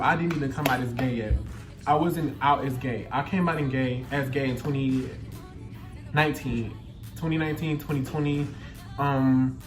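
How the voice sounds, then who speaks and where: A man in his twenties with a Louisiana Southern accent tells a story in casual, slangy chat, with a feminine, flamboyant delivery and repeated phrases for emphasis. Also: medium pitch. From Louisiana, United States. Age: 20s. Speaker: YouTube storyteller. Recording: roomy echo, music behind the voice.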